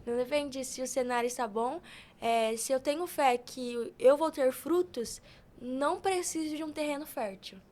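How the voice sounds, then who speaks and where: A woman's voice: sits high, normal speed, darkish tone. A woman of eighteen with a Brazilian accent, talking casually as a guest on a podcast. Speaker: podcast guest, youth preacher.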